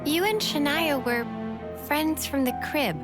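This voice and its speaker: An unhurried, high-voiced young fighter girl. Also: animated. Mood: curious. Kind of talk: video game voice acting. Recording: loud background music over dialogue.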